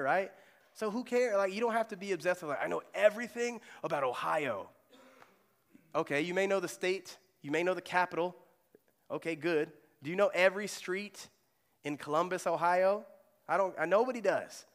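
A man's voice: high-pitched, fairly bright tone, big-room sound. A male pastor preaching in a conversational way, often asking the congregation questions. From Germany. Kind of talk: sermon. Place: church sanctuary.

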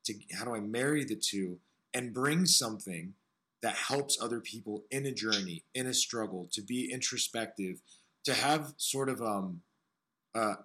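A man with a smoky, raspy voice hosting an interview, talking earnestly and searchingly about music and his own struggles. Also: lowish voice, pushed and bright. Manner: earnest, searching, piles up phrases. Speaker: podcast host. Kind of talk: reflective interview talk.

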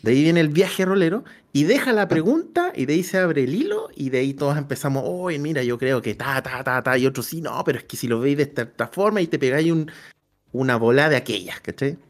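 A man's voice: a little high, animated, quick pace. A man with a Chilean accent chatting casually and colloquially as co-host of a role-playing livestream. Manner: colloquial, slangy.

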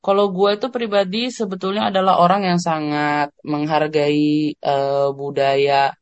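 An Indonesian man living abroad, chatting casually as a podcast guest, slangy and relaxed with hesitant fillers. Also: fairly high pitch, a little slow, clean tone, no room sound, lo-fi sound.

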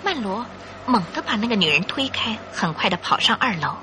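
A woman narrator reading a scripted radio drama in an expressive, dramatic storytelling manner. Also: mid-range voice, a little fast, a little hiss or hum.

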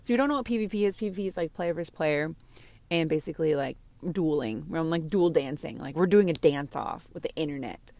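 A slightly hoarse woman chatting candidly and casually as a podcast host, rambling and gushing, easily distracted. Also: deep voice, animated, fast, warm tone. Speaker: podcast host and game streamer. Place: inside a parked car.

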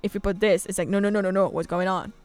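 A woman's voice: mid-range voice, fairly even intonation, fast, a little mellow, soft background music. A young Polish woman co-hosting a podcast, chatting animatedly with frequent laughter and mild swearing. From Poland. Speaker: podcast co-host. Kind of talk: casual podcast chat. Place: indoors, filmed on camera. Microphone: camera setup.

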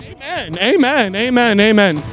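A man's voice: high voice, big pitch swings, unhurried. A man doing a rowdy street interview, brash and teasing, repeating himself and swearing casually. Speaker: street interviewer, podcast co-host. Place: outdoors on a busy nightlife street. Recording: loud street, crowd chatter, music, traffic.

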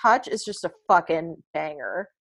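A young woman with a low voice, chatting casually about pop music on a podcast, rambling with lots of fillers and restarts. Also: animated, moderate pace. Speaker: podcast co-host.

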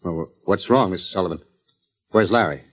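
An actor playing a nervous, defensive business manager in 1940s radio style, flustered, stammering and pleading. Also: male voice, sits low, brisk. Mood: apologetic, puzzled.

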